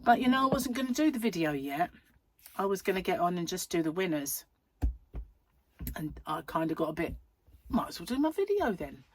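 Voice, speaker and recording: A woman hosting a crochet channel, chatting in a rambling, self-mocking way at home while her voice is croaky from a cold. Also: lowish voice, sing-song, fairly quick, pauses often. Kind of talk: casual chatty vlog. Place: at home, seated in a chair.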